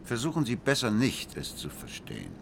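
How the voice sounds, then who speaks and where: An actor playing a brooding, obsessive husband who narrates a radio drama with cold, deliberate, intense precision. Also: male voice, lowish voice, wildly swooping pitch, creaky, full character voice. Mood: brooding, controlled. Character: brooding, obsessive husband coldly recounting his grim plan. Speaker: first-person narrator of an audio drama. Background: music.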